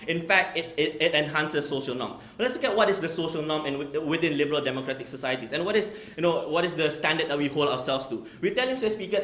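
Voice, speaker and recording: A man's voice: a little high, bright, forceful tone, a little hiss or hum. A young man from Malaysia giving a formal competitive debate speech, emphatic, repeating "we tell you" and filling gaps with "you know". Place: debate hall. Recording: echoey hall.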